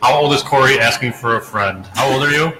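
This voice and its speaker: A man's voice: mid-range voice, brisk. Profane man hosting a podcast. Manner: profane, teasing. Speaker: podcast host. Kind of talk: casual chat. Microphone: desk mic. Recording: echoey room with loud background noise.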